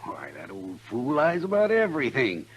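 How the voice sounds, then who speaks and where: An actor playing an assured American small-town banker in a 1950s radio western, brusque and emphatic. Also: male voice, mid-range voice, huge pitch swings, moderate pace, slightly rough. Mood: dismissive.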